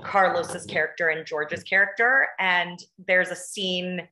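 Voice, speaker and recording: A woman with an American accent speaks earnestly in an interview. Her voice is fairly low but bright and forceful, recorded over a video call in a very echoey room. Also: fairly even intonation, measured pace. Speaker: actress, interview guest.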